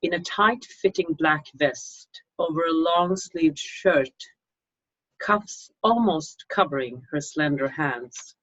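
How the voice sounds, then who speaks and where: Female author-reader, Swedish accent. Husky voice, measured slow delivery, slightly dull lo-fi recording. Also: deep voice. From Sweden. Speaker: magazine co-publisher and author reading her own story. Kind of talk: informal introduction, then slow literary story reading. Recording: dull lo-fi microphone sound.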